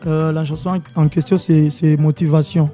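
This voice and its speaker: Man, dark tone, music under. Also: fairly high pitch, flat delivery, moderate pace. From Congo. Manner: mixes French with Swahili, hesitant with many fillers. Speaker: musician guest. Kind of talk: radio interview. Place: radio studio.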